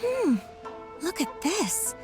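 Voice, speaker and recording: A woman's voice: very high-pitched, very animated, drawn-out words, full character voice. A woman playing a chatty, gossipy townsperson in a video game. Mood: surprised, gossipy. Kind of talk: scripted video game voice acting. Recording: music behind the voice.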